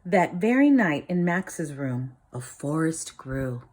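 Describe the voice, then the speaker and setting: A woman reading a picture book aloud, expressive, dropping low and soft for dramatic lines. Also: slow. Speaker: storybook reader.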